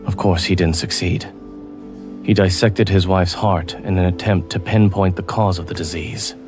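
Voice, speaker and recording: A man playing a calm, reserved and learned doctor in a scripted fan dub, speaking in a measured, formal way. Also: low-pitched, music in the background, close to an everyday voice. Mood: calm, detached. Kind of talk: scripted fan dub acting with ASMR leanings.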